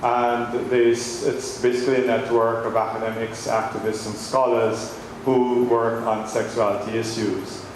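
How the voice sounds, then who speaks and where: A man's voice: medium pitch, level tone, measured pace. A man from Guyana, speaking hesitantly with frequent ums in a speech at a public event. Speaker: board member giving thanks. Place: large echoey room at a public event. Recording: very echoey hall, clear background noise.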